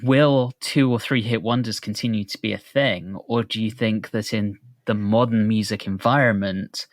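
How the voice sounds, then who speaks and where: Man, lower pitch, dry studio-like sound, casual discussion, reflective, explanatory. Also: fairly even intonation, moderate pace, no room sound. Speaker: podcast host.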